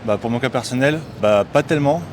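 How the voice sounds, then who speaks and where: A man from France speaks quickly in a mid-pitched voice. He explains his point plainly as faint street noise hums behind him. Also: a little mellow. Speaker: passer-by. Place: city street outdoors.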